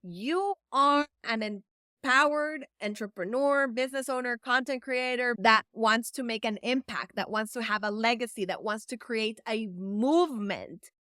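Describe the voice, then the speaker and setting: A woman's voice: on the high side, a little slow, bright, forceful tone, no echo, clean studio sound. A woman from El Salvador whose first language is Spanish, an event producer giving an earnest, encouraging talk to camera and stretching words for emphasis. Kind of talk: direct-to-camera motivational talk.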